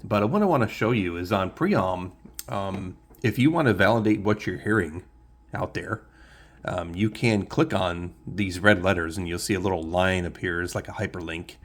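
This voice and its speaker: This is a man with a deep, low-pitched voice presenting as a hobbyist. He talks casually and without a script, walking the viewer through a demonstration. His delivery is halting, as if working out each phrase while he goes. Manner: halting, thinking aloud as he demonstrates. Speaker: shortwave radio hobbyist presenter.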